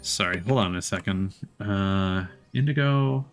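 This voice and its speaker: A man's voice: sits low, a little slow, faint music behind. A man livestreaming a video game, thinking aloud in a casual, rambling way and swearing now and then. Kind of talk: casual gaming stream chat.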